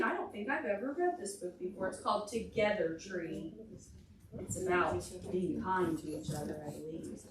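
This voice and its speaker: A woman children's librarian reading a picture book aloud expressively to preschoolers, pausing to explain simply and ask them questions. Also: mid-range voice, distant mic, background noise. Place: large carpeted indoor library meeting room. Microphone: distant camera microphone. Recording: roomy, picked up from across the room.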